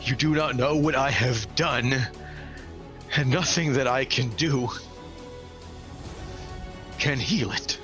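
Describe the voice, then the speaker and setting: A tormented older male High Arcanist, as acted by a male game master, speaks in a slow, solemn and anguished way. He is weighed down by grief and despair in a tabletop fantasy game, with clear music underneath. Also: fairly bright tone. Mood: grieving.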